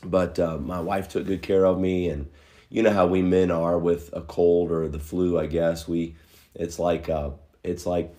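A middle-aged man, a pastor, giving earnest, folksy church announcements to an online audience from his living room, his voice a little hoarse from a cold, with many filler pauses. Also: deep voice, level tone, mellow.

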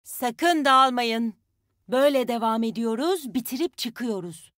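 Casting: young female game fighter and healer, high pitch, plucky, folksy, confident, very dry studio sound. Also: expressive, unhurried, fairly bright tone, no echo. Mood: determined, encouraging. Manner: folksy, confident, spirited team talk. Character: spirited tough young Australian woman fighter, nature-loving healer. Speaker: game character voice actor. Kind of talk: video game voice lines, short battle call-outs.

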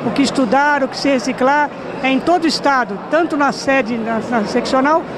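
A middle-aged man, hosting a TV interview at a gala and talking effusively into a handheld mic. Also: very high voice. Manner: effusive, fast talking, flattering. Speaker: TV program host interviewer. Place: large indoor ballroom party. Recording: noisy crowded ballroom. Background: crowd chatter and band noise.

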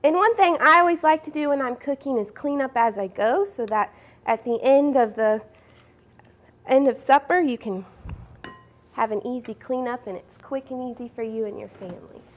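A woman's voice: sits high, normal speed. A young woman hosting a cooking show, explaining each step in a friendly way in a studio kitchen. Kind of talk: cooking demonstration. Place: home-style studio kitchen.

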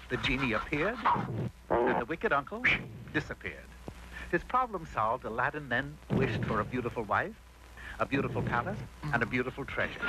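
A male narrator tells a mock fairy tale with animated, wry theatricality. His voice sits at a medium pitch, on a very noisy old 1960s cartoon soundtrack. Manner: theatrical, wry storyteller delivery.

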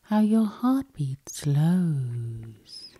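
A woman gently guiding a sleep hypnosis session with breathy, whispery, calming ASMR delivery. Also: sits low, extremely slow.

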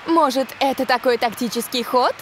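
A woman's voice: sits high, moderate pace, close to an everyday voice. A woman voicing a spirited young football commentator in a dubbed cartoon. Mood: measured. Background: music.

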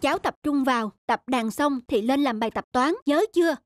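A woman acting a high-voiced cartoon stepmother. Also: fairly quick, a little hiss or hum, lightly acted. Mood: stern. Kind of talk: cartoon dialogue.

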